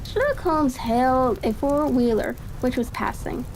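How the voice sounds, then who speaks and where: A woman's voice: high voice, animated, unhurried, darkish tone. A woman reads a classic story in a tranquil ASMR style, now and then stumbling over words. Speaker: audiobook reader. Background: ambient fireplace crackle.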